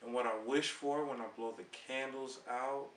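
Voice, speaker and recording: A relaxed man spins an easy-going story as a guest on a casual talk show. He sounds far from the mic in an echoey room, with a faint background hiss. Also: mid-range voice, moderate pace. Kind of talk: casual interview chat.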